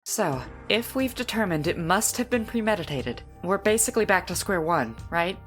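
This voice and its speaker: Woman acting firm, animated young woman. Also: medium pitch, moderate pace, faint music behind, close to an everyday voice. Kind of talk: audio drama acting.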